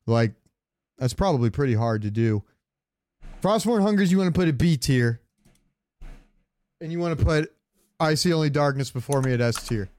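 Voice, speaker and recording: A man's voice: mid-range voice, lively intonation, normal speed, long pauses, darkish tone, dry recording. A man hosting a casual gaming podcast, talking in an opinionated, rambling way with plenty of swearing. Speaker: podcast host.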